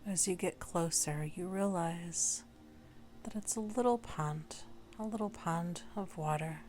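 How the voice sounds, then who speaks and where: A woman leading a guided meditation in a gentle, unhurried way, leaving long pauses between short phrases. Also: fairly low pitch, soft background music. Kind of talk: slow guided meditation narration.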